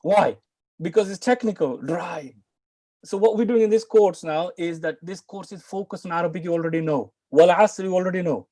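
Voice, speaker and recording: A man's voice: high-pitched, normal speed, dark tone, no room sound. A middle-aged man teaching Arabic on a video call from a room at home, earnest and coaxing, often restarting his words. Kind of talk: live online class talk.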